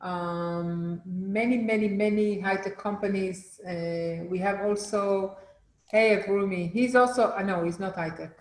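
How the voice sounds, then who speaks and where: A woman's voice: medium pitch, fairly even intonation, unhurried, echoey room. Hesitant woman presenting on a video call. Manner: hesitant, many fillers. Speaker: city representative presenter. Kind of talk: webinar talk.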